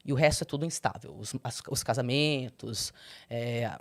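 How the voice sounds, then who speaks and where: A young adult woman, a guest in an interview, candidly telling her personal story and breaking into high, animated quotes. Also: moderate pace, gravelly. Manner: expressive, swings into high animated quoting.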